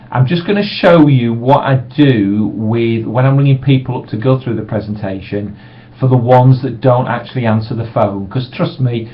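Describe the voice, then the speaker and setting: A middle-aged man, giving a casual, reassuring sales training talk from a small room. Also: mid-range voice. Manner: relaxed, reassuring, acts out sample voicemails brightly. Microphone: webcam microphone. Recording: dull lo-fi webcam audio.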